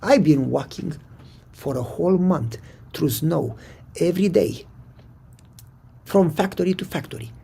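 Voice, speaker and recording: A man preaching with a Romanian accent and a deep, husky, gravel-edged voice. His pitch swings widely as he tells his story and acts out each exchange. The tone stays dark and mellow throughout. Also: a little slow, pauses often. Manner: animated, acts out dialogue in the story. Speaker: pastor. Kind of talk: storytelling sermon.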